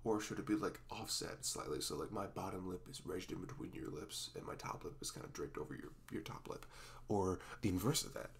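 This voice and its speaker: A man playing a sweet, goofy, nervous young boyfriend in an intimate ASMR roleplay, halting, flustered and stammering as he talks. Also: sits low, vocal fry, a little hiss or hum. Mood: awkward, flustered. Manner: halting, flustered, stammering, apologetic, often giggly.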